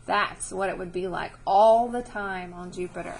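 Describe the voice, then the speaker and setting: A woman's voice: on the low side, moderate pace, vocal fry, slight background noise. A woman teacher reading a science book aloud to children, explaining as she goes and checking understanding with short questions.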